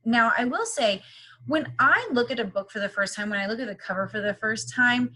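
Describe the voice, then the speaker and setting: A woman's voice: fairly high pitch, lively intonation, brisk, bright, forceful tone, poor audio. A woman teacher leading a read-aloud lesson for young children, with instructive, question-filled, encouraging phrasing.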